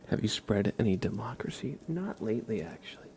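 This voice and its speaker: A man softly whispering as an ASMR livestreamer, chatting affectionately as if to a pet. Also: lowish voice, very animated, fairly quick, a little hiss or hum. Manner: affectionate baby-talk to a pet cat.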